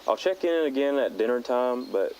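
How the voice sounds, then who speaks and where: A man playing a thoughtful teenage hiker who speaks haltingly and earnestly into a personal audio diary. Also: medium pitch, animated, a little mellow, dull audio, lightly acted. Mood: calm, reflective. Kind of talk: found-footage audio log monologue. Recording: outdoor tape log with nature noise.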